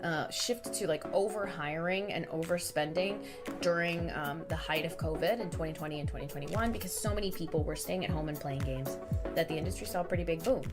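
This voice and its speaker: A woman's voice: low-pitched, fairly quick, over music. A woman streaming a game, ranting bluntly and swearing freely in casual talk, from New York. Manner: blunt, profane, animated rants. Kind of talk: casual gaming stream commentary.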